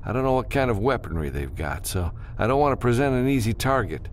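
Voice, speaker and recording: Unflappable male pilot read by a man, dry, instructive, animated pitch, sci-fi audiobook. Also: quick pace, a little hiss or hum, lightly acted. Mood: focused. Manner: dry, matter-of-fact, instructing. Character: gruff, unflappable male spaceship pilot, seasoned freelancer.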